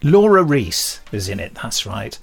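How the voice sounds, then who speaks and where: A man's voice: on the low side, very animated, unhurried, gravelly, slightly rough, faint music behind. A British man in casual podcast chat, rambling and gleeful as he hosts. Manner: chatty, rambling, gleeful quoting. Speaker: podcast host and drama director.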